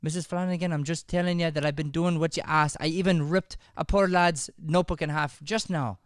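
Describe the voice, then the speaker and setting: A man acts out a flustered male schoolteacher with an Irish accent, scolding and self-justifying in comic radio drama. His voice sits fairly high and sounds dry and close. He repeats himself in exasperation. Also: fairly even intonation, a little fast, clean tone, no room sound, natural voice. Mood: defensive. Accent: Irish, put on. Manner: stammering, repetitive, exasperated. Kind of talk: improvised radio-play comedy.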